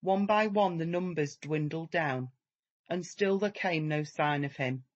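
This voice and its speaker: A man narrating a literary story as a measured, dramatic audiobook reading. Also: a little high, dull audio.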